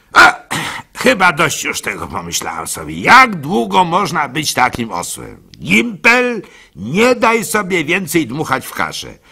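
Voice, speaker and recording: An actor playing a gullible, soft-hearted village baker who tells his story in a halting, plaintive way for a radio play. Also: medium pitch, vocal fry, full character voice. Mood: hurt, agitated. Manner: halting, stammering, plaintive, swinging between hushed and loud. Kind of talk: dramatic first-person storytelling for a radio play.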